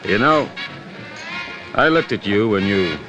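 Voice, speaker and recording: A low-pitched, world-weary cop in an old radio crime drama. He is sardonic and slangy, with a streetwise edge. Music sits clearly in the old recording. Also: lively intonation, slow, lo-fi sound. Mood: sardonic grumbling. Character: hard-boiled, sardonic, world-weary male police sergeant. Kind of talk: 1950s radio detective drama acting.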